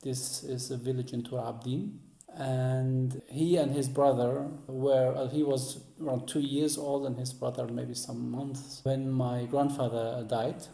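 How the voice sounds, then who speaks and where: A man, recounting family history as an interview guest in halting English, searching for words. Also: medium pitch, steady tone, gravelly, dark tone. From Lebanon. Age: 40s. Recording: roomy, echoey sound.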